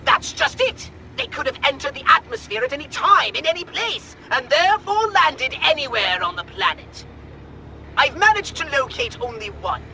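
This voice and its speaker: A man playing a pompous, high-strung alien mastermind in a video game cutscene, theatrical and wordy. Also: very animated, moderate pace, creaky, pushed and bright, slight background noise, exaggerated voice, poor audio. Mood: alarmed, indignant.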